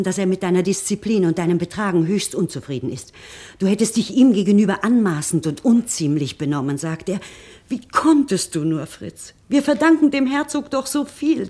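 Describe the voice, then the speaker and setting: A woman's voice: medium pitch, darkish tone, husky, close to an everyday voice. An actress playing a worried middle-aged mother in a radio drama, pleading anxiously with her son. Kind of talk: 1950s-style radio drama acting.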